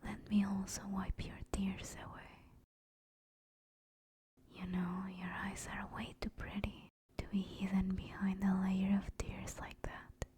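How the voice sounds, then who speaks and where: A woman playing a female sleep paralysis demon in a whispered ASMR roleplay, soft, intimate and breathy. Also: medium pitch, completely flat delivery, a little slow, close to an everyday voice. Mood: gentle, soothing. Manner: soft, intimate, teasing then soothing. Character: female sleep paralysis demon, teasing menace turning apologetic and tender.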